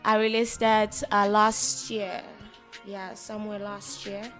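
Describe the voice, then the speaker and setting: A woman's voice: mid-range voice, completely flat delivery, takes it slowly, a bit bright, soft background music. A young adult woman raised in Durban, a guest rapper talking casually and animatedly on a podcast, swearing freely. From Tanzania, raised in Durban, South Africa.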